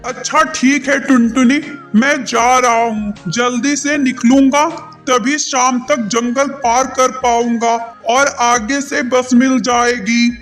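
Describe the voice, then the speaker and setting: Honest farmer bird husband, male performer: cartoon voice, very high, slow and deliberate, over music. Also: steady tone, fairly bright tone, full character voice. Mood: earnest. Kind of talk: Hindi moral-story cartoon dubbing. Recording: music behind voice.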